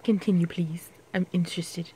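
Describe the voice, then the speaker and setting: A woman's voice: on the low side, steady tone, normal speed, a little mellow, slight background noise, low-quality recording. A woman chatting casually, giving short prompts as a helper to a reader. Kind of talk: casual chat with brief prompts.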